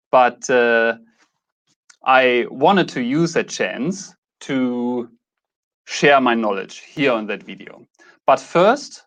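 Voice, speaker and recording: A man's voice: medium pitch, fairly bright tone. A man in his mid-thirties with a German accent, talking casually to camera as a YouTube host, a little hesitant and correcting himself as he goes. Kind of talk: casual talk to camera, introducing a channel.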